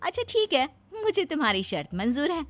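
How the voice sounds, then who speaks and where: A woman's voice: sits high, sing-song, a little fast, a bit bright, faint music behind, voice pushed up. Woman playing a perky, bold young bride. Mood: bold, challenging. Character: cheerful, bold young daughter-in-law who loves dancing.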